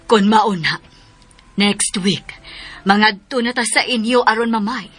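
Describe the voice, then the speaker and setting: A Filipino woman in a radio drama playing an older, anxious, persuasive lady of the house with a husky voice. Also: mid-range voice, a little slow, a bit bright, soft background music. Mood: earnest, persuasive. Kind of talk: Philippine radio drama acting.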